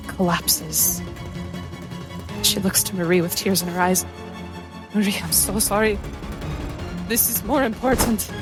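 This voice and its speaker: A woman with a mid-pitched voice narrates her fantasy character as a tabletop player. Her delivery is slow, dramatic and emotive, with pauses between phrases. Music sits clearly in the background.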